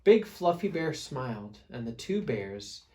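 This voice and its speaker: A man's voice: medium pitch, very animated, mellow. A man reading a picture book aloud to children as a storyteller, with dramatic emphasis. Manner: dramatic emphasis, pauses to prompt listeners.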